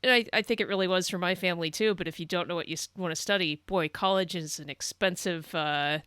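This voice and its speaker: Bright, crisp woman hosting a podcast. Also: fairly low pitch, quick pace, dry recording, studio quality. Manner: chatty and encouraging, with frequent fillers and laughs. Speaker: podcast host, Navy reservist. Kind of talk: casual interview conversation.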